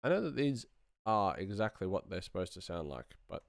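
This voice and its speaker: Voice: sits low, big pitch swings, a little fast, gravelly, no echo, clean studio sound. A sound designer talking casually through work on screen, musing aloud and muttering playfully. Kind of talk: casual screen-share workflow commentary, thinking aloud.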